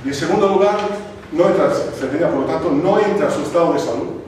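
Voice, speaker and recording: Man, film talk at a club screening, halting and thoughtful, distant mic, background noise. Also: fairly high pitch. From Sardinia. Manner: thoughtful, with many pauses and restarts. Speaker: film presenter. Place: cinema club screening room. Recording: echoey room, distant mic, noisy.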